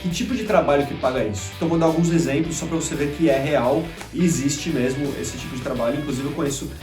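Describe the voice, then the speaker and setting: A Brazilian man hosts a vlog in a chatty, conversational way, full of little fillers. He talks quickly, and his voice sits a bit high. He is recorded from a little way off in an echoey room, with faint music under him. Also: distant mic. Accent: Brazilian Portuguese. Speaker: YouTube channel host. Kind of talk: casual talk to camera. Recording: roomy echo, faint music behind.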